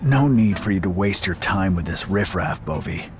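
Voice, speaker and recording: An actor playing a stoic, determined veteran fighter, the hero of a video game, speaking calmly and tersely. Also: male voice, low voice, normal speed. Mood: brisk, cool. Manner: calm, resolute, terse. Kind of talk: English video game cutscene acting. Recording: loud music over the voice.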